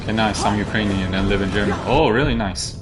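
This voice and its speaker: A man's voice: fairly low pitch, quick pace, music in the background. A young man from Algeria streaming a game, chatting casually and answering viewers' chat questions.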